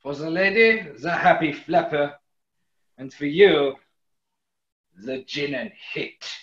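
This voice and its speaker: A man playing an unhurried waitress at a New York gin club in a 1940s-style radio comedy. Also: on the high side, a bit bright, roomy sound, lo-fi sound. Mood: dutiful, unhurried.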